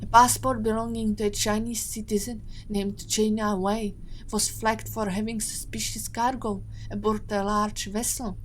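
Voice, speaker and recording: A woman playing a composed, guarded captive agent in an audio drama, with measured and formal phrasing. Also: medium pitch, level tone, slight background noise, lightly acted, low-quality recording. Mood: grave, explaining.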